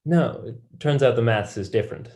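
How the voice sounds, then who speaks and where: A man's voice: mid-range voice, lively intonation, moderate pace, dark tone, natural voice. A student actor as a wry young male scholar. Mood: patient, explaining. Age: young adult. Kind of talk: staged play reading.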